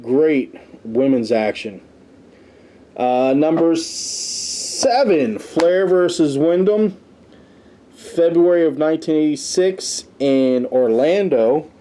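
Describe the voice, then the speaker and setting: Mellow-voiced man, casual podcast host. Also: medium pitch. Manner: conversational, tossing in personal asides. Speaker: podcast host reviewing wrestling history. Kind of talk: casual commentary, reading a ranked list.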